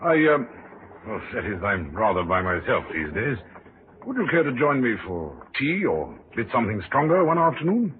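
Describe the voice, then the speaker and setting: Male actor as middle-aged retired army colonel, radio drama: hearty, clubby, animated pitch, muffled old recording. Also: normal speed, natural voice. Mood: affable. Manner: jovial, clubby, hemming and hawing. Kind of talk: 1950s radio drama acting. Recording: thin, faintly noisy old radio recording.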